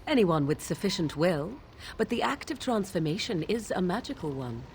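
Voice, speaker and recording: A haughty, low-voiced witch. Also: very animated, slight background noise. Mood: lofty, instructive. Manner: archaic, formal, measured, haughty diction. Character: proud, aloof young witch of the wilds, shapeshifter. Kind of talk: fantasy video game dialogue.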